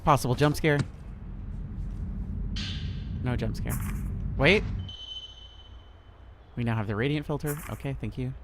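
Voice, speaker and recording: A man's voice: medium pitch, measured pace, pauses often, noisy background. A man in his thirties streaming a horror game, chatting in a rambling, laid-back way with a lot of swearing. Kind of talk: casual gameplay commentary.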